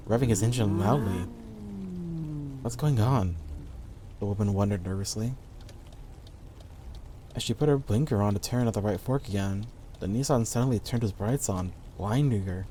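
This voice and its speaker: A man's voice: lowish voice, moderate pace, pauses often, dark tone, slight background noise. A man reading a scripted horror story as the narrator of an audio play. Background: background music.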